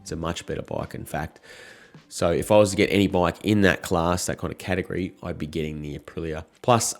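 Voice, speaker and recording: A man in his thirties, croaky from a cold, chatting casually and frankly to camera as a motorcycle vlogger. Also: sits low.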